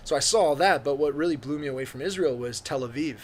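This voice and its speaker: A young man, a pole vaulter who grew up speaking Serbian and Slovak, chatting casually as a guest, full of fillers and animated storytelling. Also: a little high, quick pace, crowd noise. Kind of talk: casual podcast chat.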